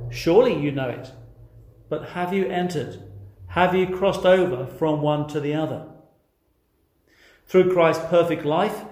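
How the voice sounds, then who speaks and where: An English man delivers a sermon in an earnest, direct way. His voice sits a little higher than most men's. He addresses listeners with rhetorical questions, and the room around him adds a strong echo. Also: darkish tone. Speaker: preacher. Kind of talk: sermon, expository preaching. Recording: echoey, reverberant room sound.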